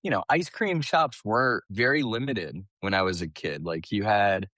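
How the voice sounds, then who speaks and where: A man's voice: on the low side, normal speed, pushed and bright, no echo, clean studio sound. A man from the US East Coast telling his story casually as a podcast guest, chatty and colloquial. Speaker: podcast guest, small business owner.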